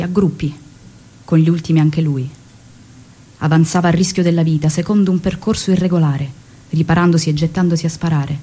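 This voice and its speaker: A woman's voice: lowish voice, a little mellow, husky. An actress playing a devoted young revolutionary woman who recalls her commander in evocative, theatrical stage storytelling. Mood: tense recollection. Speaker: actress narrating. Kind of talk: dramatic stage monologue and dialogue.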